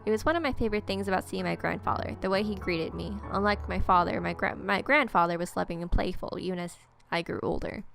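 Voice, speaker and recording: A woman's voice: mid-range voice, fast, vocal fry, few pauses, fairly bright tone, faint music behind. A woman reading a visual novel aloud, with irreverent, sarcastic asides. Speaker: let's player.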